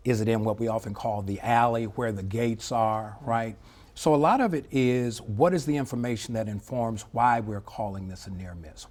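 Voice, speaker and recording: A middle-aged man speaking as an expert interview guest, explaining in a measured, emphatic way and often checking in with a short tag question. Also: lowish voice, darkish tone. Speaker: former air traffic controller, interview guest. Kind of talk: live TV news interview, explanatory commentary.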